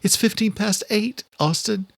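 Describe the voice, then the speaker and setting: A brave, anxious woman acted by a male narrator, pitched high and recorded very dry. She is urgent and defiant, a thriller audiobook heroine who insists on acting. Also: unhurried, creaky, dry recording, heavily acted. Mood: tense, brave. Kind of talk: horror thriller audiobook character.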